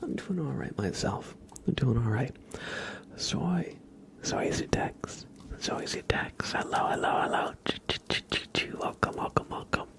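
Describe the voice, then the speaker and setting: A man whispers in a breathy ASMR style, with a heavy crackle of vocal fry running through it. He takes his time, greeting and chatting to livestream viewers in a relaxed way and softly repeating words. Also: mid-range voice, slow. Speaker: livestream host.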